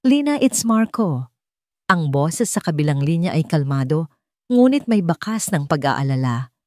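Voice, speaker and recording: A woman with a slightly low voice narrates a dramatic story as an audiobook. She reads in measured, suspenseful phrases. The recording is dry and close, with little room sound. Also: animated, dark tone, no echo.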